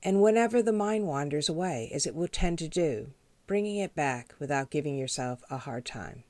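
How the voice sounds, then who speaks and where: A woman leading a guided meditation as a teacher, speaking in a gentle, measured, instructional way. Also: low-pitched, lively intonation, no room sound. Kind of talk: guided body scan meditation.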